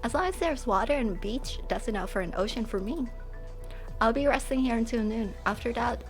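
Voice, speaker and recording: A woman voicing a lively, carefree young female musician from a game, chatty and upbeat, for a fan dub. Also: fairly high pitch, a little fast, faint music behind. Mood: playful, easygoing.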